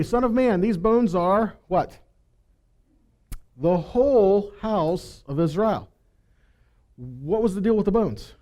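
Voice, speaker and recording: High-pitched, animated man preaching. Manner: rhetorical questions, emphatic repetition. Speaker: Bible teacher. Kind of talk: conversational sermon with scripture reading.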